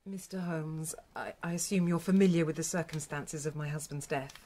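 An actress playing a grieving but composed and courteous widow in a radio drama, polite and hesitant. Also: female voice, deep voice, flat delivery, fairly quick, warm tone. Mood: polite, subdued.

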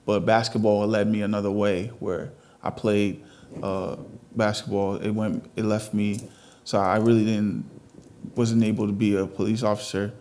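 A man's voice: fairly low pitch, level tone, normal speed, warm tone, a little hiss or hum. A man in his thirties from Ghana, answering interview questions in an earnest, matter-of-fact, conversational way. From Ghana, moved abroad at thirteen. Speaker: interview guest, fashion designer. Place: indoor television studio. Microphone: lapel mic.

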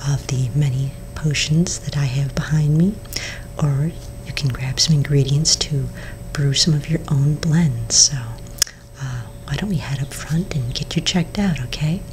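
Low whispering woman, close-miked ASMR. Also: slight background noise. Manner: soft, slow whisper with hesitant fillers. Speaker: ASMR creator playing a potion shopkeeper. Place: small indoor room before shelves of bottles. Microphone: close studio mic in front.

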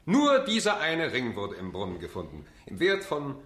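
An actor playing an earnest, dogged defence barrister in a German radio play, with a measured, probing manner. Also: male voice, medium pitch, huge pitch swings, fairly bright tone, roomy sound. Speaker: barrister and narrator.

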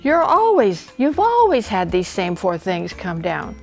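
A woman's voice: mid-range voice, huge pitch swings, unhurried, creaky, soft background music, full character voice. A woman voicing a young page boy in a storybook, anxious, respectful and pleading. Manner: gasping, pleading.

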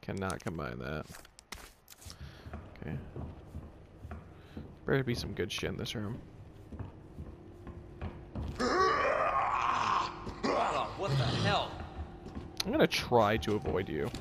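A man's voice: fairly low pitch, very animated, unhurried, pauses often, heavy background noise. A man giving casual let's play commentary, muttering to himself in a wry, joking way and swearing now and then. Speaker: video game streamer.

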